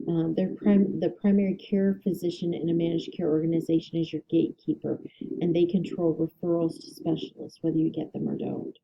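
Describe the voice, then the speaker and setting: A woman instructor giving a recorded lecture, her voice a little hoarse, explaining steadily with ums and self-corrections. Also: lowish voice, flat delivery, brisk, dark tone, muffled recording. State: slightly hoarse, clearing throat.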